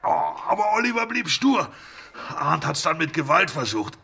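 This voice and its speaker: An actor playing a menacing, scheming crook in a radio crime drama, with a raspy, aggressive delivery. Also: male voice, a little high, sing-song, moderate pace, sharp tone, heavily acted. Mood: scheming, self-justifying. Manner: aggressive, self-justifying. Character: menacing scheming male crook, blustering about a botched deal.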